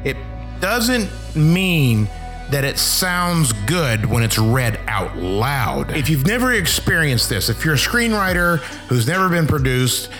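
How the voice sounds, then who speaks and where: A man's voice: a little high, sing-song, slow pace, a bit bright, faint music behind. A man giving casual, instructional advice as a screenwriter, rambling and emphatic, with a wry, self-deprecating manner. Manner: self-deprecating, wry, acts out imagined reactions. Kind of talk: casual instructional talk to camera.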